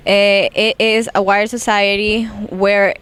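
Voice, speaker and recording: Clear-voiced woman reading a presentation. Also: medium pitch, little pitch movement, a little slow, fairly bright tone. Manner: reads a prepared text with small hesitations. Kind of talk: scripted presentation.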